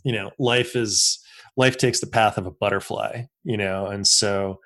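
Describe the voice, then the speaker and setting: A man's voice: lowish voice, steady tone, unhurried, fairly bright tone. A man in his fifties hosting a casual interview, reflective and rambling, laughing now and then. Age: 50s. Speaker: podcast host.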